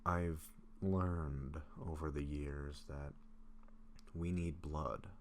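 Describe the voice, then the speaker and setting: A man playing a gentle, affectionate vampire in an intimate roleplay audio drama, speaking in a soft, halting, confiding way. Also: a bass voice, heavily acted. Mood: hesitant, explaining. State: sick, occasional coughing. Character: gentle sick male vampire, affectionate, self-doubting best friend. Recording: faint steady background noise.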